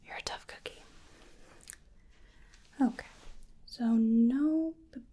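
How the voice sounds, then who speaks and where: A woman doing soft-spoken ASMR roleplay, largely whispering, gently and hesitantly murmuring to the listener in a breathy voice. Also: on the high side, slow.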